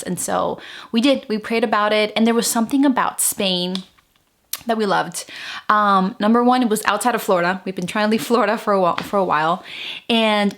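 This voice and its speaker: A woman's voice: mid-range voice, fairly bright tone. A woman in her thirties from Venezuela talks casually to her viewers, chatty and full of fillers and restarts. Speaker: vlogger. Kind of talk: casual chat to camera.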